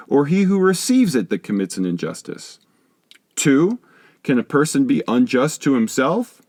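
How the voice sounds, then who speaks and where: A man's voice: on the high side, no echo. Male narrator for scholarly audiobook: formal, instructive read, widely varied melody, dry studio-like sound. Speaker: audiobook reader. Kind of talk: scripted narration, reading a philosophy text aloud.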